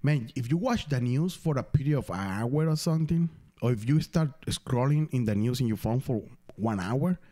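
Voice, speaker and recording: A man from Venezuela, a non-native English speaker, hosting a casual podcast chat, swearing freely and telling stories in animated, broken English. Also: mid-range voice, normal speed. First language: Spanish. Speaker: podcast host.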